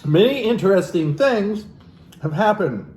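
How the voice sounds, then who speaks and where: A man's voice: high-pitched, lively intonation, a little slow, darkish tone. A man reading a book aloud in a mocking, irreverent way, with casual, sweary asides. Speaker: reader and host of a comic book reading. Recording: roomy echo with background noise.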